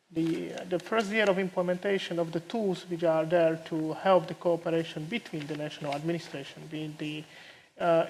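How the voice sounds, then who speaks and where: A man moderating a conference panel, posing questions in a measured way with some fillers. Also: high voice, slight background noise, poor audio.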